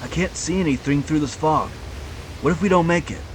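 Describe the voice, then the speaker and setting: A man's voice: a little high, a little fast, noisy background, voice pushed up, close to an everyday voice. A man voicing anxious, doubting sailors in an audio drama, with a worried, questioning manner. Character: anxious, doubting male sailors rowing a lifeboat.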